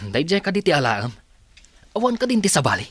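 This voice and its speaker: A man's voice: a little high, wildly swooping pitch, normal speed, fairly bright tone, husky, heavily acted. A man playing a blunt impatient company boss. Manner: blunt, questioning. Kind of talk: radio-drama acting.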